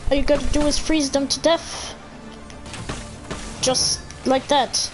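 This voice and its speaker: A woman streams a video game with casual, playful commentary. Her voice is high and she takes her time, chatting in slang. Game music plays clearly behind her. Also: steady tone, slow.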